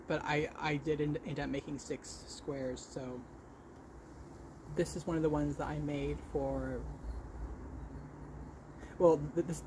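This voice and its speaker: A man's voice: fairly high pitch, background noise. A man chatting casually as a vlog host, with a stutter that repeats and blocks sounds, often correcting himself as he goes. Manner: halting, self-correcting. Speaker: vlog host showing crochet work. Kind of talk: casual podcast-style chat.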